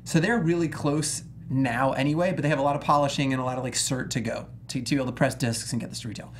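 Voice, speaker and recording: A man with a fairly high voice hosts a casual gaming discussion. He speaks in slangy, opinionated, emphatic phrases. Some noise and room ambience sit behind him. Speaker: gaming talk show host. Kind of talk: casual panel discussion, news commentary.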